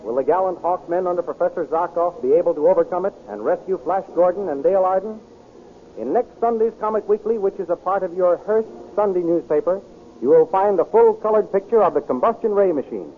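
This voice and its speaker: A man's voice: sits high, lively intonation, brisk, faint music behind. A man with an American accent announcing and narrating an old radio serial in a dramatic, emphatic manner. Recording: tinny, noisy old radio recording.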